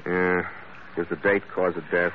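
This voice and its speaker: A male actor playing a tough, wry ship's skipper in an old-time radio drama, gruff and hard-boiled. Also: low-pitched, level tone, unhurried. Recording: muffled, noisy old radio recording.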